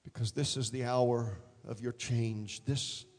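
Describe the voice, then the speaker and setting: A man preaching a dramatic sermon, acting out voices in the story and rising to shouts at the peaks. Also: medium pitch, steady tone, a little slow, big-room sound. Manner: dramatic, acts out biblical figures in quotes, shouts at peaks. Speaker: pastor.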